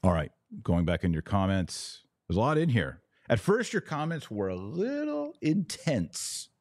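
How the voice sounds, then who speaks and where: A man's voice: mid-range voice, huge pitch swings, a little slow, a little mellow, no echo, studio quality. A man hosting a live religious commentary stream, reading out comments and answering them in an emphatic, preachy way with short repeated declarations. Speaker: podcast host.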